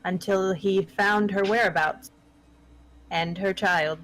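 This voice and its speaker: A woman's voice: medium pitch, fairly even intonation, takes it slowly, a bit bright, low-quality recording. A woman reading scripted horror narration in a live table read.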